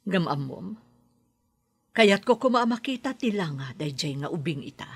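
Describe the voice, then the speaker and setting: A woman playing a greedy, scheming lady behind a kidnapping in a radio drama, sharp and scornful. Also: fairly low pitch, big pitch swings, moderate pace, husky, full character voice. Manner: sharp, scornful, snapping into commands. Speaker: madam of the house.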